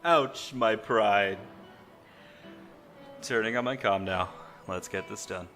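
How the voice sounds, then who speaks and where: A man's voice: fairly low pitch, takes it slowly, a bit bright, big-room sound, faint music behind. A man playing a cocky, flirtatious wisecracking operative in an audio drama, with a glib, playful manner.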